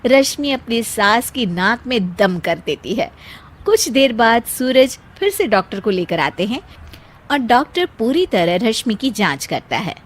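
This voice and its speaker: A woman's voice: fairly high pitch, big pitch swings, unhurried. A woman narrating a scripted cartoon story as a storyteller.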